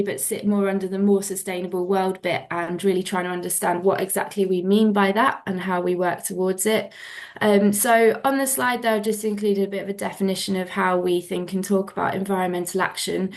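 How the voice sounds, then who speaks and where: Congested, slightly nasal woman presenting. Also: medium pitch. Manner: many fillers, um and kind of. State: congested with a cold, a bit nasal. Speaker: museum environmental manager. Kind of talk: presentation talk.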